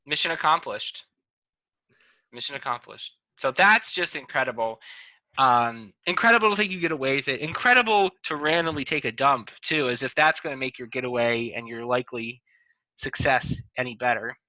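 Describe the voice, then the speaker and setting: Bright-voiced man on a lo-fi call. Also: medium pitch, moderate pace, dry recording. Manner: rambling, self-correcting. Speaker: podcast host. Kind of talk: casual chat. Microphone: video call. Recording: dull lo-fi video call audio, dry.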